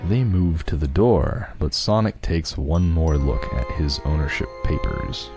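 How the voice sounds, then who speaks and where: A man's voice: rumbling low, lively intonation, measured pace, over music. A man narrating a fan fiction script, reading out scene headings and stage directions.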